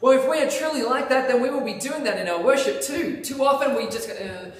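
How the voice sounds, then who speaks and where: Animated preacher in an echoey church. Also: male voice, very high-pitched, fast talker, a little hiss or hum. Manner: animated, gesturing preacher with lively emphasis. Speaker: pastor. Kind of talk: sermon. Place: church sanctuary, in front of altar. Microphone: lapel mic, filmed by far camera. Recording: echoey church hall from far off.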